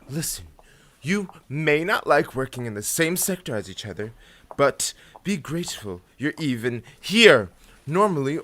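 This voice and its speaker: A man's voice: medium pitch, pushed and bright. A man playing a weary, reproving mentor in an audio drama, stern and easily exasperated. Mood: exasperated, scolding.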